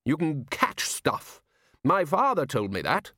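A man voicing a loud, bossy older male head wizard who blusters and insists on getting his way. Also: fairly high pitch, wildly swooping pitch, measured pace, no room sound, clean studio sound, voice pushed up, full character voice. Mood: blustering. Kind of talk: audiobook character voice.

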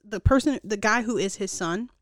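A woman's voice: medium pitch, moderate pace, no room sound, studio quality. Upbeat woman podcast co-host, wry. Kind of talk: casual chat.

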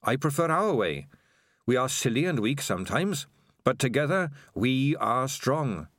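A man reading an audiobook, voicing a small, brave blind male rat in a calm, gentle, earnest voice. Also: mid-range voice, a little slow, no echo, clean studio sound. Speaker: audiobook reader. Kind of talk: audiobook character voice.

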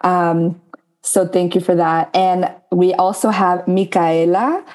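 Jersey-raised young woman moderating, low mellow voice, upbeat intros. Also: steady tone, slow pace. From New Jersey, United States. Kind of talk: panel moderating.

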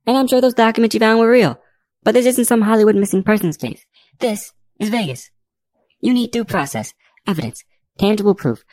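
A woman voicing a brisk, no-nonsense, dismissive female judge in an audiobook. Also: medium pitch, a little mellow, no echo, clean studio sound, pitched above the performer's own voice, natural voice. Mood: firm warning.

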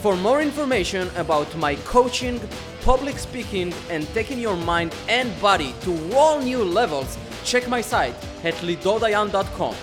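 High, upbeat man over guitar music. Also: moderate pace. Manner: upbeat, energetic sign-off. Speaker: podcast host. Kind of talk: scripted promotional outro.

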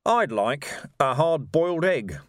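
A man's voice: fairly high pitch, sing-song, measured pace, no echo, pitched above the performer's own voice. Man playing a weary, sardonic police sergeant. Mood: wry. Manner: dry, blunt, pragmatic.

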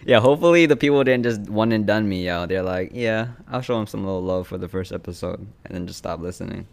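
A man's voice: lowish voice, fast talker, vocal fry. A man in his twenties hosting a casual podcast from a living room, loose and slangy, laughing easily. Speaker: podcast host. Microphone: single podcast mic.

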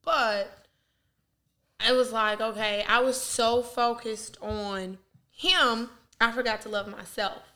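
A woman's voice: a little high, fairly even intonation, slow pace, pushed and bright. A young adult woman co-hosting a casual podcast chat, frank and reflective, laughing often. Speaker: podcast co-host.

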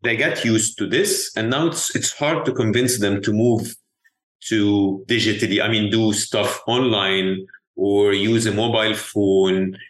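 A man in his forties from Egypt, answering interview questions in a measured way, thinking aloud with small restarts. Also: on the low side, a bit bright. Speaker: venture capital investor guest. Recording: roomy echo.

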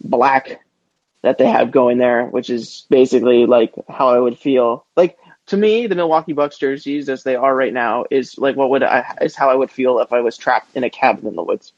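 A man's voice: mid-range voice, moderate pace, no echo. A man co-hosting a casual sports podcast with slangy, rambling, opinionated banter. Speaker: podcast co-host. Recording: dull lo-fi close podcast audio.

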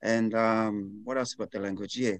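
A man's voice: fairly low pitch, steady tone, normal speed, no echo. A man with a mid-range voice talks as a podcast guest. His voice is recorded close and dry. He sounds earnest and candid, with a self-deprecating touch. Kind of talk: casual podcast chat.

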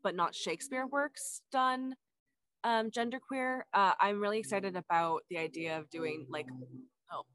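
A stage actor talks casually on a podcast, animated and quick to laugh, with the voice leaping high when excited. The sound is dry and close, with no room behind it. Mid-pitched for a woman's voice. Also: smooth, dry recording. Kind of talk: casual chat.

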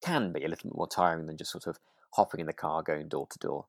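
A man's voice: a bass voice, fast, creaky, no room sound, clean studio sound. A man co-hosting a podcast, chatting casually in a hesitant, tentative way. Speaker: podcast co-host. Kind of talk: relaxed chat reviewing a radio drama.

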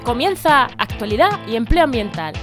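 A woman's voice: on the high side, big pitch swings, normal speed. A woman reading a scripted podcast introduction as an announcer. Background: clear intro music.

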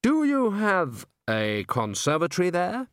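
A man's voice: sits high, wildly swooping pitch, a little slow, clean tone, no echo, studio quality, voice pushed up, full character voice. A man voicing a thin, prim and pompous male opera manager in an audiobook. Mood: prim, condescending.

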